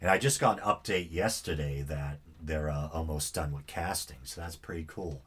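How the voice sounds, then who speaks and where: Low, husky-voiced man with a stuffy nasal sound, hosting a podcast, relaxed and meandering. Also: normal speed. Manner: rambling, self-deprecating, easygoing. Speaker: podcast host. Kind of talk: casual solo podcast monologue.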